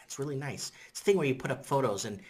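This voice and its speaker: A man in his thirties whose first language is Portuguese, talking casually to a webcam in a rambling, animated way with lots of repetition and "you know what I'm saying?". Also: medium pitch, fast talker, breathy edge. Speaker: vlogger. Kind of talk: casual opinion vlog to camera. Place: indoors in front of a green-screen city backdrop.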